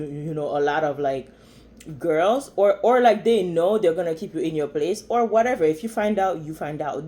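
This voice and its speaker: Low-voiced opinionated woman with Haitian accent. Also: mellow. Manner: blunt, opinionated, chatty with many fillers. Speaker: commentary vlogger. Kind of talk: casual reaction commentary.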